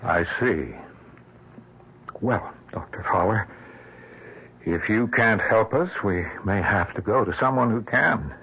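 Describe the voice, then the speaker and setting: A man's voice: on the low side, expressive, takes it slowly, long pauses, husky, heavily acted. A man playing an earnest, frightened husband in a radio drama, urgent and pleading. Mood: anxious, earnest. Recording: dull lo-fi radio recording.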